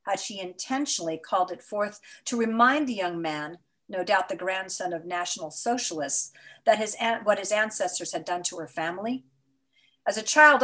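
Middle-aged actress, steady expressive memoir reading, webcam sound. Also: female voice, medium pitch. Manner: measured, expressive storytelling. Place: indoors in front of a mottled photo backdrop. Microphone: video call webcam.

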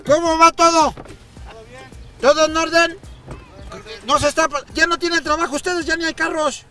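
A man with a Mexican accent and a strikingly high voice, teasing and swearing through a joking chat. He laughs often and needles his guest. He is recorded by a camera mounted in an open car on city streets, with clear music running behind. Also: steady tone, normal speed, bright, forceful tone. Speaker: host driving a guest. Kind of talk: crude joking chat while driving.

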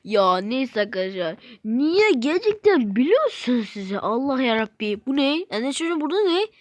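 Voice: high-pitched, big pitch swings, normal speed, dry recording. A video game YouTuber giving rambling, exclamatory let's play commentary, often repeating phrases and talking straight to viewers.

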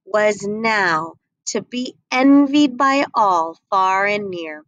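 A young adult woman reading a rhyming picture book aloud at a desk webcam, slow and expressive. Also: mid-range voice, dry recording, muffled recording. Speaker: storybook reader. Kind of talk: read-aloud storytelling. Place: small indoor room.

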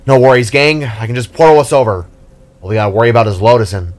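An anxious winged hero from a fantasy story, voiced by a man. He speaks with halting, earnest urgency in a dramatic audiobook manner. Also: mid-range voice, natural voice. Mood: reassuring. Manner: halting, stuttering when worried. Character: anxious winged spark hero, male, stammering with dread. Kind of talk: dramatic story character reading.

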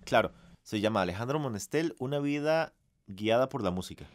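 A man's voice: mid-range voice, animated, a little fast, close to an everyday voice. An actor playing a polite young male music student with a Costa Rican accent, explaining things in a radio drama. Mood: polite, informative.

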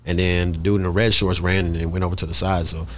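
A man's voice: low-pitched, little pitch movement, fast talker, a little hiss or hum. A man, chatting casually as a podcast guest in a rambling, slangy way. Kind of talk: casual chat. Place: small podcast studio in a shop, indoors. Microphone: close studio microphone on arm.

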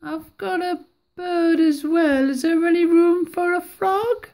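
A woman voicing a kindly, cheerful female witch in a picture-book reading, in a higher voice. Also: fairly even intonation, takes it slowly, a little mellow, exaggerated voice.

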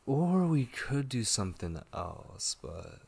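A man playing a tender, devoted young gamer boyfriend in an ASMR roleplay, speaking softly, intimately and hesitantly with a husky voice. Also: medium pitch, sing-song, slow pace, exaggerated voice. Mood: casual, attentive. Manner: soft, hesitant, intimate, with murmured asides. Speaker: ASMR voice actor.

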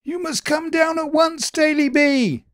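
A man voicing excitable rabbits crying out in a storybook. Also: very high voice, unhurried, no room sound, studio quality, pitched above the performer's own voice. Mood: panicked.

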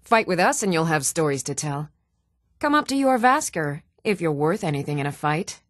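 A woman's voice: low-pitched, very animated, moderate pace, no room sound, studio quality. An actress playing an eager, proud young warrior woman in a fantasy video game, earnest and spirited. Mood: friendly, brisk.

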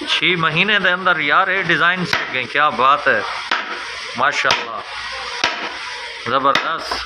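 A man's voice: on the high side, steady tone, a little slow. A man, casually vlogging through a woodwork workshop and praising what he sees. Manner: admiring, chatty, praises often. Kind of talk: casual walking vlog. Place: open brick workshop, indoors and out. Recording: loud workshop and street noise.

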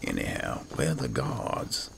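Really deep fry-heavy guy talking to himself while roleplaying a video game, slow, game ambience behind him. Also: wildly swooping pitch. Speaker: gaming channel host. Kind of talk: improvised in-character video game roleplay commentary. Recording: clear background game noise.

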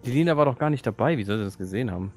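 A man's voice: medium pitch, expressive, very fast, darkish tone, faint music behind. A man talking casually as a player in a tabletop role-playing session.

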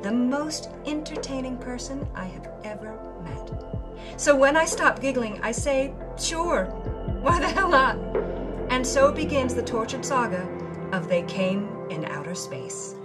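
Wry, very expressive 1950s starlet. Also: female voice, sits high, a little slow. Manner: wry, sardonic, theatrical. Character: brash, wronged 1950s B-movie starlet telling her memoir. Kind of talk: dramatic first-person narration in audio drama. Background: music.

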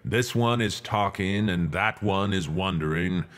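A stern, resolute religious statesman of the 19th century , read by a male performer, delivers a formal political address. The voice is fairly low and very slow, with measured, declamatory phrasing. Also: a bit bright. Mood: stern, insistent. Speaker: reader. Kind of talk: dramatic reading of a 19th-century political speech.

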